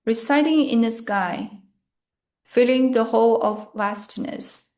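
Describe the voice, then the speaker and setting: A woman reading a scripted guided meditation in short, measured phrases with pauses between them. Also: on the high side, a little mellow.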